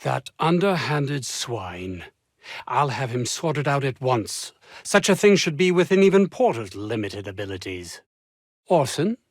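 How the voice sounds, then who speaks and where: An actor playing a pompous, ambitious, anxious research scientist in a video game, speaking with haughty, fussy, old-fashioned formal diction. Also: male voice, mid-range voice, big pitch swings, unhurried, no room sound, studio quality. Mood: disdainful, irritated. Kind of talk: theatrical game dialogue.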